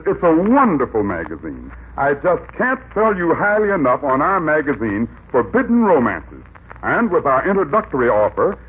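Florid, wildly swooping salesman. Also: on the high side. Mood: polite pitching. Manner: florid, theatrical sales patter. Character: flowery, pleading male magazine salesman, gushingly persistent. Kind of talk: 1950s radio comedy acting. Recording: narrow-band old radio recording.

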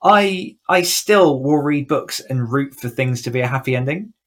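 A man's voice: mid-range voice, animated, moderate pace. A man chatting casually as a podcast guest, thinking aloud in a reflective, conversational way with frequent asides. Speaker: podcast guest, horror author. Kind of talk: casual interview chat.

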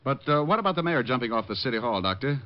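A dull vintage radio recording carries a man playing a suave American private eye. He is low-voiced and talks fast, with wry, clipped impatience and plenty of swing in his delivery. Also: very animated, clean tone, lightly acted. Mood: hurried. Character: suave, quick-witted male private detective, brisk and impatient. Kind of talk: 1940s-50s radio drama acting.

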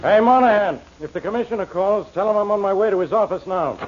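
Man playing a brusque, fast-talking police inspector. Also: sits high, music in the background, natural voice. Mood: gruff, impatient. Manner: brusque, curt. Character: gruff, impatient male police inspector. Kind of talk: 1940s radio acting. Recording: dull vintage radio recording.

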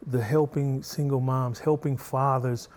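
A middle-aged man, a guest on an interview show, speaking in a soft-spoken, gentle way with a slow, even cadence. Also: medium pitch.

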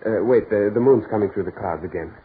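An American actor playing a curious, insistent man on a dark beach in a 1940s radio horror play. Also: fairly low pitch, quick pace, narrow, tinny audio, close to an everyday voice. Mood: fascinated. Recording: scratchy band-limited 1940s radio recording.

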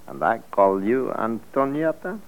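An actor playing a hot-tempered, lovestruck vegetable farmer who speaks broken English, in a radio play. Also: male voice, medium pitch, very animated, unhurried. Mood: smitten. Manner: broken English, impulsive and gushing. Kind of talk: 1940s radio acting. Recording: muffled old radio recording, faint music.

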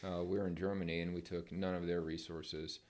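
Middle-aged veteran guest, very low voice, measured and firm. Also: male voice, little pitch movement, darkish tone. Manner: measured, plain-spoken, firm opinions. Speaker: podcast guest, military veteran. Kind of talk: casual interview chat.

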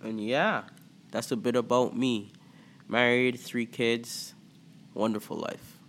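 A man with a Toronto accent hosting a podcast as a coach, talking loosely with lots of fillers and pauses. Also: medium pitch, fairly even intonation, fairly bright tone, slight background noise. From Antigua and Barbuda. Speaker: podcast host and life coach. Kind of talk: casual podcast talk.

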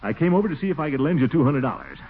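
A man's voice: fairly high pitch, very animated, rapid-fire. Pompous, blustering man, wildly swooping inflection. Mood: excited, eager. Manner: pompous, flustered, chuckling. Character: blustering, easily swayed middle-aged man, pompous neighbor. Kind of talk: 1940s radio comedy acting. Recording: faintly noisy, tinny 1940s radio recording.

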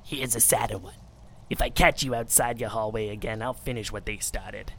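A man's voice: on the low side, fairly even intonation, normal speed, gravelly, a bit bright. A man doing a hissing, sneering kobold bully. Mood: threatening. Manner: hissing, insulting, menacing. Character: sneering cruel male kobold, reptilian bully. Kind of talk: audiobook character voice.

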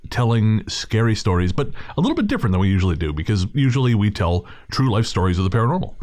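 Low-voiced man hosting a podcast. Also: sing-song, quick pace, darkish tone, no room sound, clean studio sound. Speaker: podcast host. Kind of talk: casual podcast introduction.

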